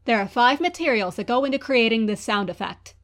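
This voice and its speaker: A woman's voice: on the high side, expressive, fairly quick, a bit bright. A woman presenting a quiz segment as a guest, explaining step by step. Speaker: guest Foley artist.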